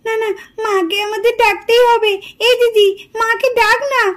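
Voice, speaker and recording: A woman's voice: very high voice, level tone, moderate pace, fairly bright tone. A woman voicing an inquisitive, anxious village child in a doll story, full of questions and pleading.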